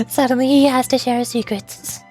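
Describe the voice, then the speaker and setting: A woman's voice: high voice, steady tone, moderate pace, a little mellow, faint music behind. A woman chatting casually on a live game stream as a virtual YouTuber, rambling along as she talks. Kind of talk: casual live stream chat while reading game dialogue.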